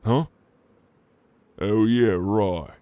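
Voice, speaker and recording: A man playing a flirtatious, protective orc in an intimate ASMR roleplay, with a teasing, casual and gentlemanly manner. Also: fairly low pitch, drawn-out words, no room sound. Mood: encouraging, casual. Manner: teasing, intimate, casual drawl with soft chuckles. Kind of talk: ASMR roleplay addressed to the listener.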